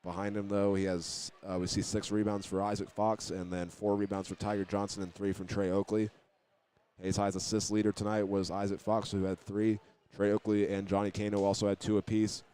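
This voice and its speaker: A man's voice: on the low side, level tone, brisk, warm tone, slight background noise. A man giving postgame basketball radio commentary, reading out statistics with some hesitation. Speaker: sports play-by-play announcer.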